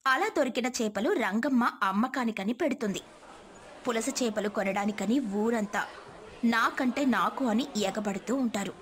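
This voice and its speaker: Woman, high-pitched voice, scripted storytelling, moral tale narrator. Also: normal speed, a little hiss or hum.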